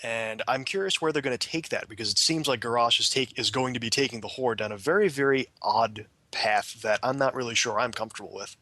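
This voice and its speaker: A man in a casual panel discussion, giving opinions while pausing and searching for words. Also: mid-range voice, bright, forceful tone, dry recording. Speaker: podcast panelist.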